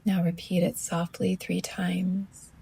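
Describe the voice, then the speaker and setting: A woman yoga teacher leads a guided meditation in a hushed, very breathy voice close to a whisper. Her pitch sits a little low and stays very even, with some soft creak. She gives gentle, soothing instructions in short phrases with long pauses.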